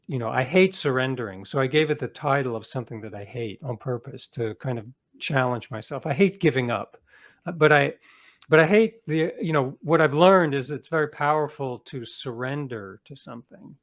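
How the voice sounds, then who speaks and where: A middle-aged man speaking as an interview guest, an author telling his story reflectively and conversationally, leaning on key words and trailing off softer. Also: mid-range voice, normal speed. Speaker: guest, author and podcast producer.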